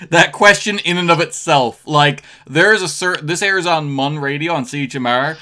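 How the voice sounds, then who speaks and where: A man's voice: fairly high pitch, moderate pace, bright, forceful tone, a little hiss or hum. A man hosting a radio interview in casual chat, rambling with fillers and restarts. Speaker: radio host.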